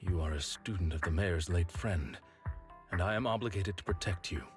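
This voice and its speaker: A dutiful, reserved protector from a video game , voiced by a man. He has a very low voice and speaks with formal, restrained courtesy. Faint music sits behind him. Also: moderate pace, natural voice.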